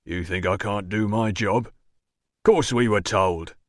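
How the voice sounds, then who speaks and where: A man voicing a surly, rough-spoken working-class male doorman in an audiobook, blunt and slow. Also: lowish voice, dry recording, clean studio sound, lightly acted. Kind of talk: audiobook dialogue.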